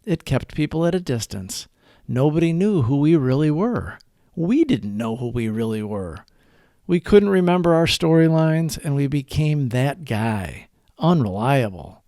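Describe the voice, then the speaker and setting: A man reading a scripted daily reflection aloud as host, in a measured, earnest way with deliberate phrasing. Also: on the high side, sing-song, warm tone, no room sound, clean studio sound.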